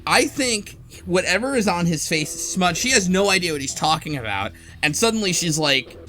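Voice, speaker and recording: A man hosting a casual podcast discussion of a game story, talking in an animated, argumentative way. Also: high-pitched, normal speed, poor audio. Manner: animated, argumentative, quotes characters while explaining. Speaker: podcast host. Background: music behind the voice.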